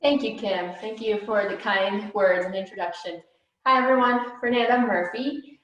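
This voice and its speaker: A woman's voice: medium pitch, normal speed, fairly bright tone, dull audio. Woman from Brazil in a very echoey room. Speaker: panelist introducing herself. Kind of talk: conversational professional self-introduction. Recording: heavily echoing room.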